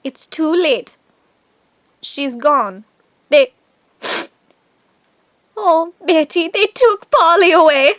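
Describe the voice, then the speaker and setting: A woman's voice: very high voice, animated, drawn-out words, long pauses, a bit bright, no room sound, studio quality, heavily acted. An actress playing a distraught, grieving mother in a radio drama.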